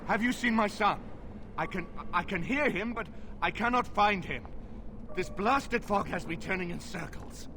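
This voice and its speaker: High-pitched, distraught noble father. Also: moderate pace, noisy background. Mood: bewildered. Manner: anxious, calling out. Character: distraught, confused noble father lost in fog.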